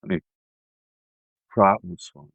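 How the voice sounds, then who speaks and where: A man's voice: unhurried, fairly bright tone, dry recording. A man from a band chatting casually as an interview guest about being on the road. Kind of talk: casual chat.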